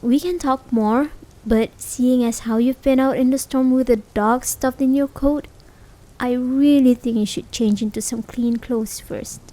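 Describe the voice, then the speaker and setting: A woman's voice: high voice, fairly even intonation, measured pace, dark tone, low-quality recording. A woman acting a caring, worried, hesitant partner in a realistic slice-of-life ASMR roleplay. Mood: exasperated, gentle. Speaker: amateur voice actor. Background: rain sound effects.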